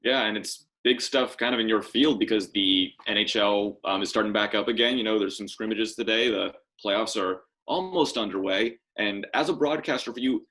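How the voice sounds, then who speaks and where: Podcast host guy, talks fast, voice on the low side, casual. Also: fairly bright tone, rough recording.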